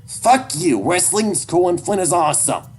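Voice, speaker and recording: A man voicing an angry, hurt and defiant adolescent animal boy in an audio story, shouting and pleading. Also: medium pitch, very animated, moderate pace, faint music behind, voice pushed up, natural voice. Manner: shouting, pleading, foul-mouthed.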